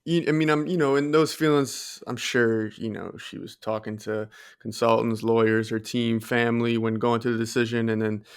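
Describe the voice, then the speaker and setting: A man co-hosting a podcast in casual discussion, thoughtful and measured as he reasons things through. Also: medium pitch, flat delivery, clean tone. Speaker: podcast co-host.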